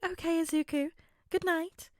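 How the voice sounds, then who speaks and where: Woman playing a high-voiced fretful, gentle mother. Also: fairly even intonation, normal speed, dry recording, clean studio sound. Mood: gentle concern. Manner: fretting, soft-hearted. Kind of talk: audiobook character acting.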